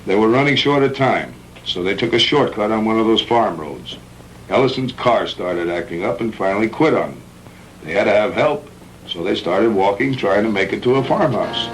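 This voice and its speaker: A man playing a calm, frank middle-aged hospital doctor in a measured, matter-of-fact 1960s B-movie style. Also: lowish voice, lightly acted. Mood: grave, recounting. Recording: noisy old 1960s film soundtrack.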